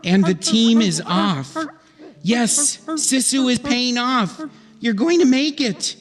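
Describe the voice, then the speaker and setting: A man's voice: very high-pitched, expressive, slow. A man narrating a comic sketch theatrically in old-time radio style, on a reverberant theatre stage.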